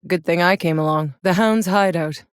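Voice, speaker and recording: A battle-hardened female soldier voiced by an actress in a sci-fi game. Her voice sits on the low side and is recorded close and dry. She delivers her lines bluntly, with a wry, sardonic edge. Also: normal speed, clean tone, no room sound, lightly acted. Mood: grim, determined. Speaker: video game protagonist.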